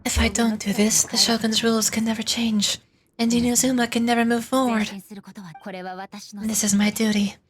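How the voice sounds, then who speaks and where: A woman acts out an immortal female ruler in a dramatic video game. Her voice sits on the high side and she declaims gravely and formally, with unbending resolve. A clear murmur of background sound runs behind her. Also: little pitch movement, moderate pace, a crowd in the background, lightly acted. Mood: solemn, resolute.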